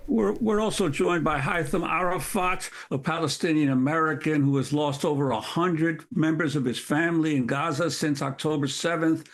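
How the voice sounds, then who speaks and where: A man's voice: a little high, normal speed, hardly any pauses. A man hosting a broadcast news interview, putting questions to guests.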